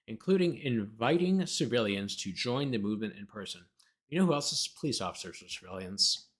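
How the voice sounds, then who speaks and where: A man's voice: fairly low pitch, a bit bright, husky. Exasperated, sarcastic man giving running commentary. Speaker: lawyer hosting a livestream. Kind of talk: reading a document aloud with off-the-cuff running commentary.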